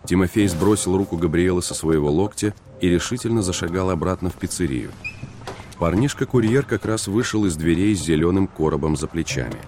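A man narrating a story in an even, descriptive way. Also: low-pitched, fairly quick, a little hiss or hum. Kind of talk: scripted narration.

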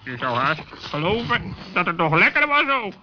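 A man's voice: high-pitched, sing-song, moderate pace, slight background noise, voice pushed up, heavily acted. A man voicing a cheeky, blunt, bickering bird in a children's radio play. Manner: blunt, sharp-tongued. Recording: muffled old sixties recording.

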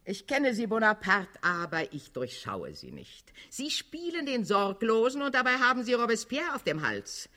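A man's voice: high voice, huge pitch swings, moderate pace, hardly any pauses, pushed and bright, exaggerated voice. An actor playing a scheming old cardinal statesman in a radio drama, fanatical and coldly authoritarian, with declamatory, sardonic delivery. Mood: needling. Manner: declamatory, sardonic, chuckling rhetoric. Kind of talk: German-language radio drama acting.

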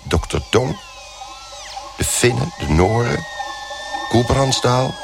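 A man's voice: medium pitch, sing-song, slow, vocal fry, music in the background, exaggerated voice. An actor playing a dazed, lonely, dying man turned into a lobster, narrating in a brooding monologue for a radio play. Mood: dazed dread. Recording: faint background noise.

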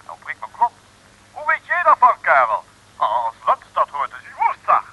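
A man's voice: fairly high pitch, huge pitch swings, normal speed, breathy edge, muffled recording, exaggerated voice. A man playing a jovial, scoffing government official, incredulous and chatty on the telephone in a 1960s radio comedy.

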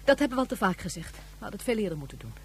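A woman acting an anguished mother in a sci-fi radio drama. Her mid-pitched voice is very animated, earnest and emphatic. There is faint hum in the recording. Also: racing, close to an everyday voice. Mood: gentle, careful. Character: passionate, reproachful mother in distress. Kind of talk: Dutch science-fiction radio drama acting.

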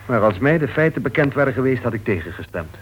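A man's voice: medium pitch, sing-song, fast talker, close to an everyday voice. An actor playing a well-meaning, persistent clubman in a Dutch radio play, questioning and coaxing like a concerned friend. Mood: shocked. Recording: dull old radio recording, faint noise.

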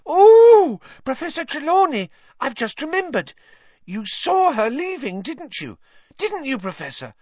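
A man voicing an excitable teenage schoolgirl who gushes breathlessly. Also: extremely high pitch, measured pace, no room sound, highs cut off, voice pushed up, heavily acted. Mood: excited. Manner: breathless, squealing.

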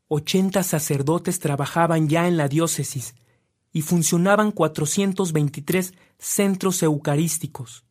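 A male narrator tells a radio drama in a solemn, articulate way. His voice sits a little high and is recorded dry and close. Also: a little mellow, no echo. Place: dry studio.

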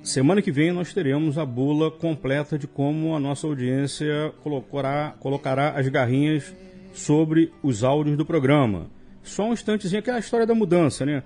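A man's voice: on the high side, moderate pace, dark tone, soft background music. A man with a Brazilian accent hosting live radio, reading listener emails aloud with jokey asides. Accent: Brazilian Portuguese. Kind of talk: casual live radio chat.

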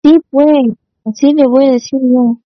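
A woman's voice: high voice, fairly even intonation, normal speed, no echo. A woman talking casually and insistently in a private phone conversation, often repeating short phrases. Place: phone call, one side heard. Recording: dull lo-fi intercepted phone call audio.

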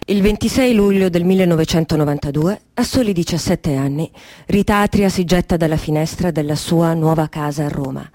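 An actress gives a sober female narration for a documentary radio play. The timbre is soft-edged and dark, set a little low. The sound is dry and close. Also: a little fast, no echo, close to an everyday voice. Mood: grave.

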